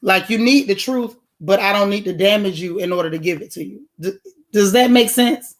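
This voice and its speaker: A woman with a heavy, loud voice that has bass in it, hoarse at the moment, talking directly and emphatically while she hosts a casual livestream and answers chat comments. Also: moderate pace.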